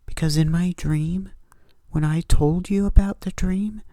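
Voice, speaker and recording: Man putting on a higher-pitched frightened little girl. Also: fairly even intonation, measured pace, warm tone, no echo. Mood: scared, hushed. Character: frightened little girl telling her father a nightmare.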